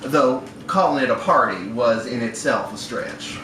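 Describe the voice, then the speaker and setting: A man's voice: mid-range voice, expressive, a little slow. A man giving a wry live reading of a literary short story, performed as a storyteller. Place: bar room at a live event. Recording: echoey, noisy live room, distant mic.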